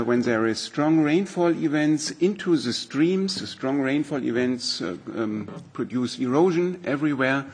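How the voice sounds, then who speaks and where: A middle-aged man with a German accent giving a measured, explanatory presentation to a parliament hearing. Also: medium pitch, husky. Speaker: expert speaker. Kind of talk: formal presentation with slides.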